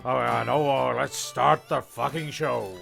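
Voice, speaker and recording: A man playing a foul-mouthed, rambling, flustered narrator in a comic radio play, with a gravelly voice. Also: medium pitch, steady tone, measured pace, fairly bright tone, soft background music.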